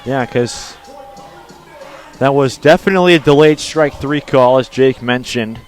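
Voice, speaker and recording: A man doing live radio play-by-play as an announcer, lifting into excited calls on big plays. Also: mid-range voice, a little slow, soft background music. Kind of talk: live radio sports commentary.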